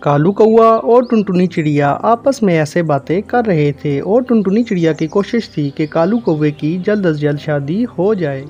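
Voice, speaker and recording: A man's voice: high voice, expressive, moderate pace, few pauses, a little mellow. A man narrating a scripted cartoon moral story as the storyteller, in a lo-fi recording. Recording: dull lo-fi sound with music. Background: music.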